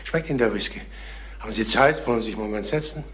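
An actor playing a jittery, evasive middle-aged salesman and father in a radio crime play, speaking haltingly with repetitions. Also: fairly low pitch, roomy sound, a crowd in the background, natural voice. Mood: defensive, strained. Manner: halting, repeats himself. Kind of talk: German radio crime drama acting.

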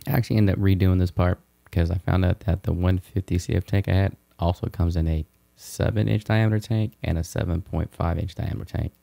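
A man's voice: low voice, fairly even intonation, a little fast, creaky, dark tone. A man in his thirties hosting a DIY video, narrating a how-to in a casual, informal, explanatory way.

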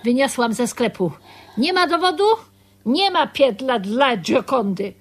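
Brash, earthy, high-voiced woman. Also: lively intonation, unhurried, husky, full character voice. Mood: combative. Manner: blunt, colloquial. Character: brash, earthy working-class woman with blunt humour. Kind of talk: theatrical radio drama acting.

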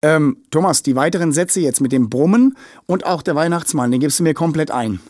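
A man's voice: on the high side, expressive, fairly quick, darkish tone, no echo. A male voice actor talking casually in the studio between takes. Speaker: voice actor in an audio drama.

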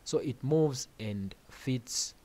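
A man of middle pitch narrates a software tutorial over his screen recording. He explains each step in a plain, unhurried way and drops in casual asides as he goes. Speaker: music production tutorial host.

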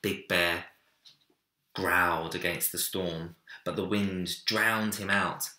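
Young guy narrating kids story, deliberate n dramatic, bright voice, echoey room. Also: low voice, fairly even intonation. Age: young adult. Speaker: story reader. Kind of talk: expressive children's storybook narration. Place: small home room, indoors. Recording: roomy echo.

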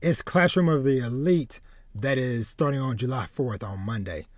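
A man in his thirties hosting a casual anime podcast, speaking haltingly and often repeating words as he goes. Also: medium pitch, very noisy. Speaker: podcast host. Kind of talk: casual chat about anime. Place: small room with bookshelves, indoors, on a video call.

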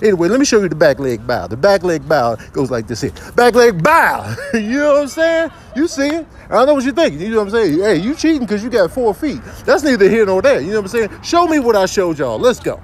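A man's voice: high voice, very animated, fairly quick, hardly any pauses, a little hiss or hum. A man voicing a cocky, chatty older animal showing youngsters soccer moves, slangy and showy, as comic voiceover. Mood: cocky, playful.

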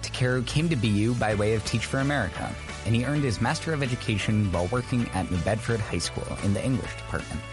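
A man's voice: fairly low pitch, fast talker, hardly any pauses, music in the background. A young man, a student and guest host, interviewing a guest on a podcast in a friendly way. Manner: friendly, scripted intro then casual questions.

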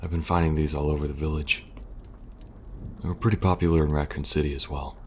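A man playing a weary, protective young survivor agent in an ASMR audio roleplay, speaking softly and reassuringly, a little hesitant. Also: extremely low pitch, little pitch movement, quick pace. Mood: gentle, caring. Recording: faint background noise and ambience.

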